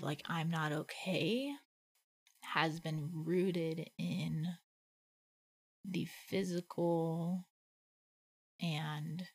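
A woman talks slowly and thoughtfully in a relaxed conversation about faith, leaning on "like" as she thinks aloud. Her voice is husky with a gravelly fry and sits a little low. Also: no room sound. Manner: reflective, thinks aloud with many "like" fillers. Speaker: podcast co-host.

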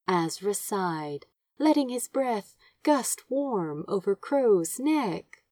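A woman's voice: mid-range voice, darkish tone, no room sound, studio quality. A woman reading fiction aloud as an amateur audiobook narrator, with tender, dramatic storytelling. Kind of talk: expressive fan fiction narration.

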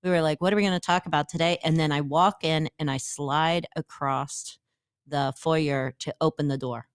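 A woman's voice: sits low, fairly even intonation, normal speed, clear, resonant tone. A woman in her twenties with a nasal voice, a youth pastor co-hosting a podcast and telling self-mocking stories. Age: 20s. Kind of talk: casual chat.

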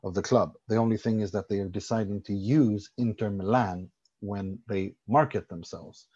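A man's voice: lowish voice, fairly even intonation, normal speed, mellow, breathy edge, dull audio. A man from Sweden, a football journalist guesting on a podcast, explaining his views at length with firm confidence. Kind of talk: casual podcast discussion.

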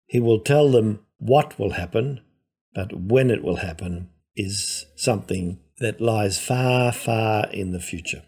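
A male priest and professor giving a scripted gospel reflection in a measured, explanatory manner. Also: fairly low pitch, a little mellow, slightly rough.

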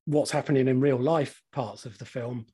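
A man in his forties with a slightly croaky voice, co-hosting a casual podcast chat, laughing often and swearing freely. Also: medium pitch, steady tone, normal speed, dark tone. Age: 40s. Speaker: podcast co-host.

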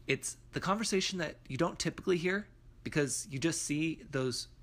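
A man's voice: on the high side, moderate pace, a bit bright. A man hosting an entrepreneurship podcast, speaking in an upbeat, conversational way and encouraging his guest. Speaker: podcast host. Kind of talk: podcast intro and interview.

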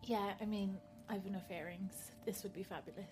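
A woman's voice: mid-range voice, a little fast, soft background music. Laid-back Irish woman guest. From Ireland. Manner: relaxed, laughing easily. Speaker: guest, bride-to-be. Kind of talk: casual chat.

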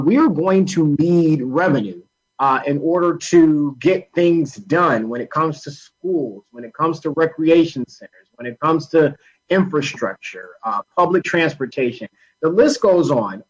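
Male American political interviewee, slightly high voice, halting delivery with restarts, muffled low-fidelity recording. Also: husky. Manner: halting, repeats words while explaining. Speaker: guest, labor council political director. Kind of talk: radio interview, political advocacy talk.

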